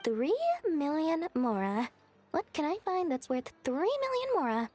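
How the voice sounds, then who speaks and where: A woman voicing a greedy, flattering young female merchant in an anime-style video game, salesy and theatrically courteous. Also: high voice, unhurried. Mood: indignant, scheming.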